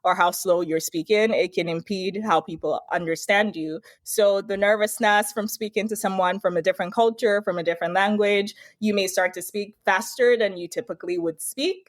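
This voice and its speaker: Woman guest from Nigeria, thoughtful and measured, close dry mic. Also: mid-range voice, dry recording. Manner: reflective, measured explanations. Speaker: podcast guest, brand consultant. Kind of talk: casual interview conversation.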